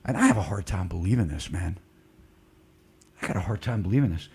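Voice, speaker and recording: A man's voice: deep voice, fairly quick, creaky, slight background noise. A man with a Baltimore accent hosting a casual real estate live stream, joking and peppering his talk with "man". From Baltimore, USA. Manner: animated, joking, says "man" often.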